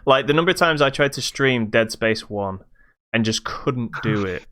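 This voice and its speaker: A man's voice: mid-range voice, expressive, normal speed, pushed and bright. A man giving his opinions in casual podcast chat about games, feeling his way through his phrasing. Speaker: podcast co-host.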